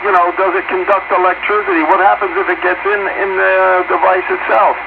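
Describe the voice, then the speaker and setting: A man in a casual radio chat with a hesitant, halting delivery. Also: high voice, flat delivery, vocal fry. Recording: noisy, muffled radio transmission.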